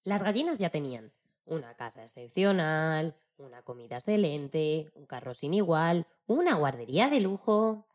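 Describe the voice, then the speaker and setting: A woman narrating a children's story in an expressive storytelling style. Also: low voice, moderate pace.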